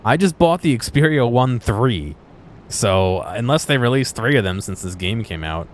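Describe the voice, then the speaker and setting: A man's voice: mid-range voice, lively intonation, unhurried, slight background noise. A man giving casual, unscripted commentary while exploring a game, musing and thinking aloud as he goes. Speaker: gameplay video host.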